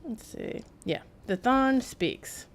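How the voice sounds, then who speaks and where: A woman's voice: fairly high pitch, expressive, slow pace. A woman giving casual live-stream game commentary, rambling as she thinks aloud, with wry asides.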